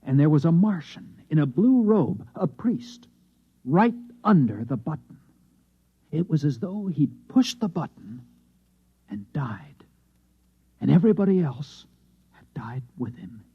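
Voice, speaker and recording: A muffled vintage radio drama recording carries a haunted American voice, husky and mellow. He is a distressed man who believes he is the last Martian, halting and repeating himself in anguish, with wide swings in pitch. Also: big pitch swings, exaggerated voice.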